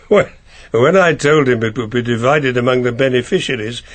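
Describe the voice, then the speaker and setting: An actor playing a discreet older family solicitor in a radio drama, courteous, dry and a little hesitant, with an English accent. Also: male voice, medium pitch, moderate pace, natural voice. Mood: confiding.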